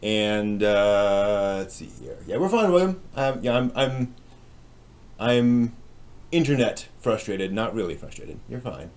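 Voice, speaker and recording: A man hosting a live stream in a casual, chatty way, with a halting, hesitant delivery that tends to trail off. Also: fairly low pitch, animated, a little mellow.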